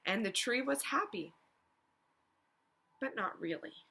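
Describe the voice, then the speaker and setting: A woman reading a children's storybook aloud as a teacher, expressively, pausing to prompt young listeners with questions. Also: on the high side, lively intonation, gravelly, pushed and bright, breathy edge.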